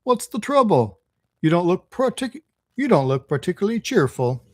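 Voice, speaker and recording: A man's voice: high-pitched, big pitch swings, moderate pace, darkish tone, no echo, voice pushed up. A man voicing a burly, kindly working-class engine driver from a storybook, folksy, reflective and good-natured. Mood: friendly concern.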